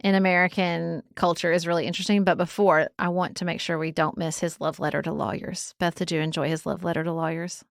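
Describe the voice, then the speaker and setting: A woman co-hosting a podcast, talking through a book in an animated, opinionated, conversational way. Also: on the low side, fast talker, vocal fry, hardly any pauses, no room sound, studio quality. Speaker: podcast co-host. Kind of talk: casual book discussion.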